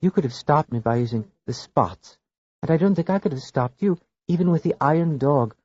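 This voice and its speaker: A man's voice: a little high, lively intonation, a little fast, breathy edge, no room sound, pitched above the performer's own voice. Figure: a man doing a mild, clever little priest. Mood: gentle. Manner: gentle, polite, quietly knowing. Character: small, mild, clever old male priest. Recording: dull lo-fi dry recording.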